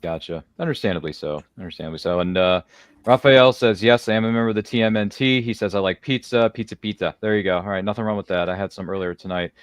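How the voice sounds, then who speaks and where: A man's voice: lowish voice, fast, clean tone, no room sound, studio quality. A man hosting a casual roundtable, chatty and jokey, drifting into slangy asides. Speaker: host. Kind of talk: casual roundtable hosting, reading viewer comments.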